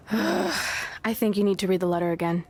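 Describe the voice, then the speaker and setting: A woman's voice: mid-range voice, big pitch swings, measured pace, slight background noise. A woman playing a loyal, sarcastic young woman wrestler who encourages her friend in a video game cutscene. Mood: earnest. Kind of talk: scripted game cutscene acting.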